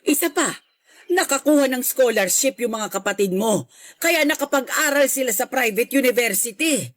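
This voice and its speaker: A woman's voice: high-pitched, expressive, normal speed, no room sound. A woman playing a stern, self-righteous, guilt-tripping middle-aged mother in a radio drama, scolding and defensive. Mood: defensive, indignant. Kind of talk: emotional radio drama acting.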